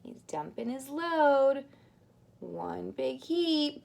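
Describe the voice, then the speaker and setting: A woman reading a picture book aloud to young children like a daycare teacher, in an expressive, sing-song way with drawn-out words. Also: high-pitched, vocal fry. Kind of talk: animated read-aloud of a children's storybook.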